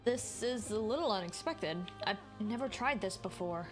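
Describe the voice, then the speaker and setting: A woman's voice: medium pitch, animated, moderate pace, rough recording. A woman game streamer giving casual live commentary and reading along with dialogue. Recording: game music behind the voice.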